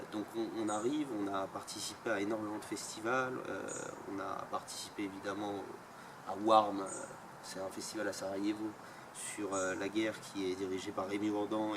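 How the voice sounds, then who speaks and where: Hesitant man, distant and noisy. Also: fairly low pitch. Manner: hesitant, many fillers. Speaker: photojournalist interviewee. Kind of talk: interview answers. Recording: distant mic, loud outdoor noise.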